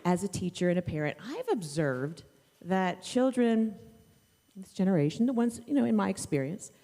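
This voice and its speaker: A woman talks informally to a congregation with children, as if off script. Her voice is mellow with a very animated melody, and she puts questions to her listeners. The large room leaves a long reverb around her words. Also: mid-range voice, normal speed. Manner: conversational, off-script, asks questions. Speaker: teacher leading children's time at church. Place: church sanctuary. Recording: echoey hall with long reverb.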